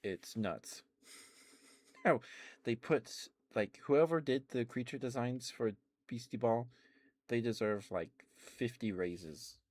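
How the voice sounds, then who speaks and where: A man streaming ASMR, chatting softly and rambling with frequent fillers and little laughs. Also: medium pitch, takes it slowly, dry recording. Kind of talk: casual rambling chat.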